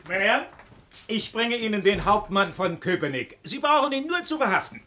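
Talkative passport official, self-satisfied, officious, eager, higher pitch, swooping delivery, old radio play. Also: male voice, lively intonation, moderate pace, pushed and bright, exaggerated voice. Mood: smug. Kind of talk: 1950s German radio drama acting.

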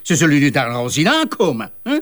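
A grumbling Flemish prosecutor in a radio play, brushing off others' theories. His slightly high voice swings widely in pitch. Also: wildly swooping pitch, a little fast, fairly bright tone. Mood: dismissive. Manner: dismissive and grumbling. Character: grumpy, skeptical senior male prosecutor. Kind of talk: radio drama acting.

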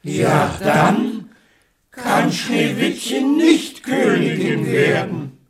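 Very high, echoing, slow-chanting dwarf. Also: male voice, sing-song, over a noisy crowd, heavily acted. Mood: resigned. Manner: drawn-out, chanting. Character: earnest, excitable dwarf, one of seven. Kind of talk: German radio play fairy-tale acting. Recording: echoing, distant, voices crowding behind.

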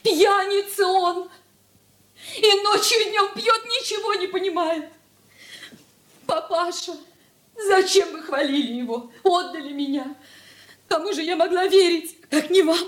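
An actress in a Soviet radio drama playing a distraught young runaway wife, pleading and tearful. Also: female voice, very high voice, measured pace, pauses often. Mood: tearful, bitter.

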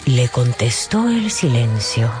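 A radio novel narrator with animated, widely varied intonation, telling the story dramatically. Music plays clearly behind the voice. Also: medium pitch, slow pace.

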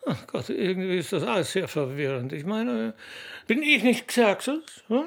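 An actor in a radio play playing a vain, bewildered opera singer, exasperated and theatrical. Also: male voice, high voice, big pitch swings, normal speed, gravelly, full character voice. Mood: exasperated, confused. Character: vain, bewildered male soprano opera star.

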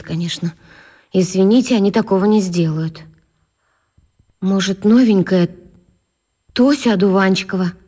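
An actress playing an anxious, soft-hearted schoolteacher who questions herself in agitated short bursts in a children's radio drama. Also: female voice, mid-range voice, close to an everyday voice.

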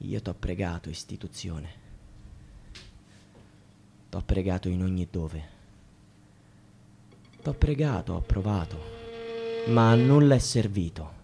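An actor playing a despairing student who prays in vain, in a declamatory, poetic radio drama monologue that moves between hushed and shouted. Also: male voice, high voice, sing-song, measured pace, long pauses, a little hiss or hum, heavily acted. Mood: bitter despair. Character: despairing student trapped in a system, praying in vain.

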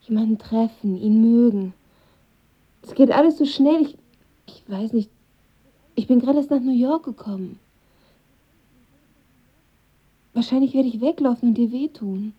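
Husky, guarded young woman. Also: a little high, moderate pace, highs cut off. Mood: frightened, hesitant. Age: young adult. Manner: hesitant, hushed. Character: guarded, shy, vulnerable young woman afraid of love. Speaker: actress. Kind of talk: radio drama, intimate dialogue.

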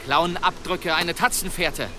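Guy doing a polite clever medieval demon hunter, radio play, pretty high voice, noisy ambience. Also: fairly even intonation, a little slow, lightly acted. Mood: calm, probing. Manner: courteous, probing, formal phrasing. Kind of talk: German radio play acting. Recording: noisy outdoor ambience behind voice.

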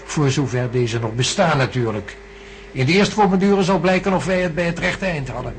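An actor playing a technician reporting from monitoring screens in a radio play, in crisp, matter-of-fact reports. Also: male voice, on the high side, expressive, a little fast, slight background noise, lightly acted.

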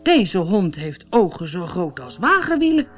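A woman reader acts out a brash soldier from a storybook, greedy and nosy. His lines are very animated in pitch. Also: a little slow, faint music behind. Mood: startled. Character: bold, greedy, curious male soldier. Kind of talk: storybook character voice.

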